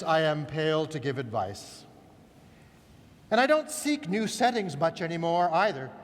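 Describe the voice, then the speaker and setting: A man giving a solemn, measured, declamatory recitation of a literary verse play. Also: sits high. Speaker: reader. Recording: long hall reverb, echoey large space.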